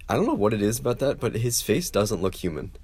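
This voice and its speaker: A man co-hosting a casual podcast chat with a sore, froggy throat and a dry, joking way of thinking out loud. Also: lowish voice, big pitch swings, quick pace, breathy edge. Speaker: podcast co-host.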